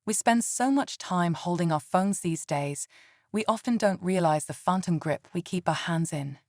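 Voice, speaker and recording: A woman leading a scripted guided meditation in a calm, soothing and gentle way. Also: on the low side, normal speed, no room sound, studio quality.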